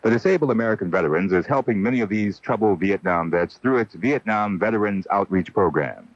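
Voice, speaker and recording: Deep-voiced man reading a radio appeal. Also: lively intonation, no room sound. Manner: sober, deliberate. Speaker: public service announcer. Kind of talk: scripted radio public service announcement. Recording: dull 1980 AM radio broadcast.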